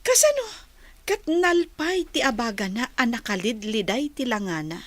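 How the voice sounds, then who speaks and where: An actress playing an excitable, nosy household helper in an Ilocano radio comedy drama, exclamatory and exaggerated, with an Ilocano accent. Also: female voice, a little high, normal speed, no room sound. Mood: startled, curious.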